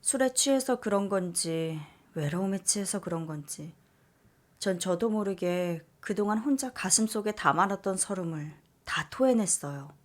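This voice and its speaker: A woman's voice: medium pitch, expressive, normal speed. Woman reading a story aloud. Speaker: story reader. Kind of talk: storytelling narration of a listener's letter.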